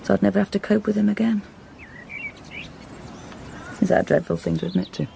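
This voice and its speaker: A troubled wife and mother, soft-spoken and hesitant. Her voice is creaky and confessional, in naturalistic radio drama, with faint ambience behind her. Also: medium pitch, steady tone, a little hiss or hum. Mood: guilty, confessional. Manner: hesitant, confessional, halting phrases.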